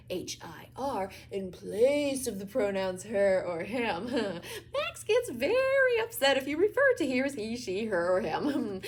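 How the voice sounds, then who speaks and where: A woman's voice: a little high, a little hiss or hum. A woman playing an exasperated mother in a comic stage monologue, theatrical and sarcastic, hitting her words emphatically. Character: frazzled, exasperated mother lecturing about her child's new pronouns.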